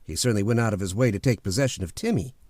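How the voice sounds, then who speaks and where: A man's voice: on the low side, rapid-fire, darkish tone, dry recording, close to an everyday voice. A man acting in an old-time radio drama as a breezy, blunt young suitor with a wry, plain-spoken manner. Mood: frank, earnest.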